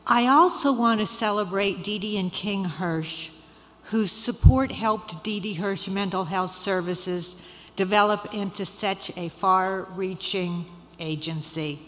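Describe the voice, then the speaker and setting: A woman's voice: medium pitch, slow. A middle-aged woman delivering prepared opening remarks as an event chair at an awards ceremony, speaking into a podium microphone. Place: large event hall, on stage. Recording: hall reverb, background noise, podium microphone.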